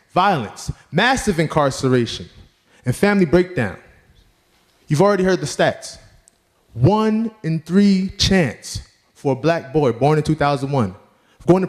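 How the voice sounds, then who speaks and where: A man's voice: fairly high pitch, darkish tone. A man, a leadership trainer, giving an earnest prepared address that reads out statistics and lists. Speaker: youth leadership trainer and presenter.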